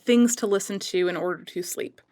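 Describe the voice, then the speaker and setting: A woman talking casually as a podcast guest, rambling a little and haltingly as she thinks aloud. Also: mid-range voice, mellow, no echo.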